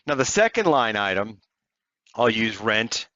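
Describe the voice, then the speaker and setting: A man narrating a software tutorial step by step in an explanatory, conversational way. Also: lowish voice, sing-song, measured pace, no room sound, dull audio. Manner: explanatory, conversational walk-through. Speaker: software demonstrator.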